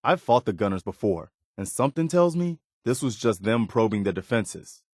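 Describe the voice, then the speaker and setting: An actor playing an earnest, dutiful, plainspoken militia lieutenant in a post-apocalyptic video game. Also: male voice, lowish voice, sing-song, normal speed, darkish tone, no echo, studio quality. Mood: steadfast. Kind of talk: video game character dialogue.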